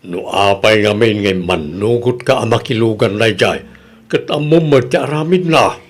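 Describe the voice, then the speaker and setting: A man's voice: on the low side, moderate pace, natural voice. A man acting in an Ilocano comedy radio drama as a nagging, reproachful relative who lectures on punctuality, with an Ilocano accent. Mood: grumbling.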